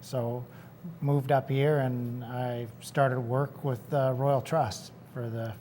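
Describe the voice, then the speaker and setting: A Canadian-accented businessman from North Vancouver gives steady, matter-of-fact answers as a guest in a TV studio interview. His voice sits at a middle pitch. A faint ambient hum runs underneath. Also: takes it slowly.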